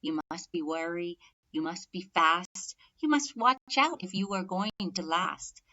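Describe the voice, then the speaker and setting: A woman's voice: on the low side, measured pace, sharp tone, highs cut off. A woman voicing an anxious mother turkey hen in a children's storybook, warning her young in urgent, instructive rhyme. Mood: stern warning. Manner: urgent, instructive, rhyming delivery.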